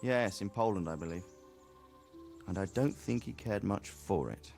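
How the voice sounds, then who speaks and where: An actor playing an easygoing middle-aged nobleman in a video game, a fatherly mentor who talks in an unhurried, wry, reflective way. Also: lowish voice, vocal fry, darkish tone, soft background music. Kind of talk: cinematic video game dialogue.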